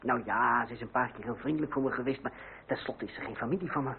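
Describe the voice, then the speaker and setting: A man's voice: mid-range voice, quick pace, creaky, close to an everyday voice. An actor playing a proud, headstrong young man in a radio drama, with formal, emphatic, theatrical delivery. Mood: firm, cool. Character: proud, headstrong young nephew in revolutionary politics. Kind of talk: old radio drama acting. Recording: crackly, narrow-band old radio recording.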